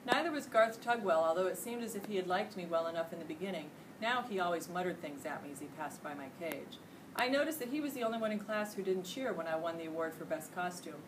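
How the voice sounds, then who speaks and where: A middle-aged American woman reading a children's storybook aloud with expressive, emphatic delivery. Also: on the low side. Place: indoor school classroom. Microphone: distant camera microphone. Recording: distant camera mic, noisy room.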